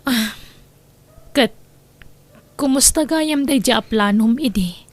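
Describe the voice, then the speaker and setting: A woman voicing a caring female friend in an audio drama, speaking gently and soothingly. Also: on the high side, slow, dry recording. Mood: gentle.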